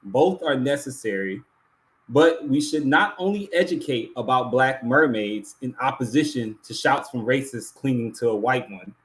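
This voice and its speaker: A man's voice: medium pitch, expressive, normal speed, fairly bright tone, rough recording. Earnest man hosting an awards show. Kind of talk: prepared closing speech to an online audience.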